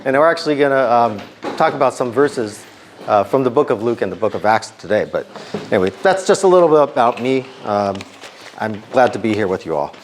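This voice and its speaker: A middle-aged man with a slightly hoarse voice, giving an informal sermon to students in a conversational way, with many ums and restarts. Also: mid-range voice, animated, brisk, a little hiss or hum.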